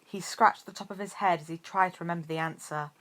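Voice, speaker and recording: A woman's voice: on the low side, fairly even intonation, fast, a bit bright. A woman reading scripted story narration as an amateur audiobook narrator.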